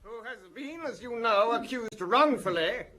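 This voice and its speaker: High, pompous, flamboyant lawyer. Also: male voice, expressive, unhurried, pushed and bright, heavily acted. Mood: grandiose, righteous. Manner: pompous, theatrical, florid. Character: flamboyant, insinuating male French defence lawyer addressing press. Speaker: actor in radio drama. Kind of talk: theatrical courtroom-style oratory in radio drama.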